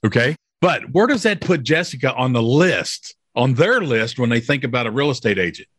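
A man's voice: medium pitch, expressive, moderate pace, fairly bright tone, husky, no room sound. Man, interview host, emphatic, repeats himself, asks "okay?", dry recording. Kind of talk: promotional interview.

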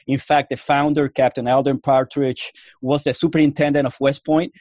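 A man's voice: medium pitch, flat delivery, moderate pace, darkish tone, no room sound, clean studio sound. A man from Puerto Rico with a Cuban accent, an Army veteran telling his story in a casual interview, rambling with many restarts and fillers.

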